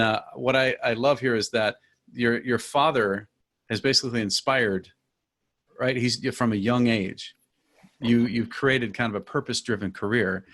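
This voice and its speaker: Enthusiastic male interview host, gravelly. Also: fairly low pitch, fairly bright tone. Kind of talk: fireside chat interview.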